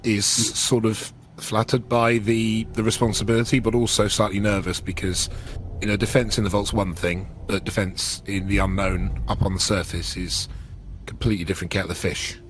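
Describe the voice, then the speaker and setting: A man's voice: lowish voice, level tone, moderate pace. A man narrating his character's actions casually as a player in a tabletop roleplaying game. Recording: clear background noise.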